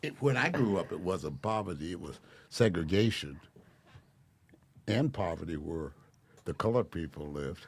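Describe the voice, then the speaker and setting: Soft-spoken gravelly old man. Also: low voice, expressive, normal speed. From Delray. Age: 80s. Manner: wry, self-mocking storyteller. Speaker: podcast guest. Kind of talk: casual storytelling interview.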